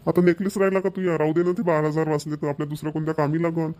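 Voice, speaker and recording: A man voicing a doting young husband in a cartoon, gentle and indulgent toward his wife. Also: fairly high pitch, level tone, rapid-fire, lightly acted. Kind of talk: cartoon voice acting.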